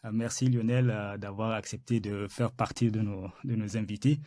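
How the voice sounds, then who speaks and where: A man's voice: lowish voice, steady tone, normal speed, mellow, no room sound, studio quality. A man hosting an interview podcast, speaking hesitantly with many filler sounds and restarts. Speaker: podcast host.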